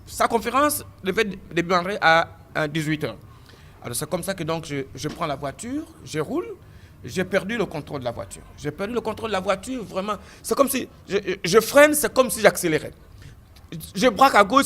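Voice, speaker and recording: Animated high-voiced man telling his story. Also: a little fast. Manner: animated storytelling with frequent stammered restarts; repeats phrases for emphasis. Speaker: guest giving a testimony. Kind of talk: recounting a personal story in an interview.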